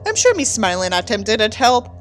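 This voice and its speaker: A woman's voice: a little high, very animated, normal speed. A woman giving a mock-dramatic reading of a book with theatrical, sarcastic delivery and candid asides. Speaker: host reading a book aloud with commentary.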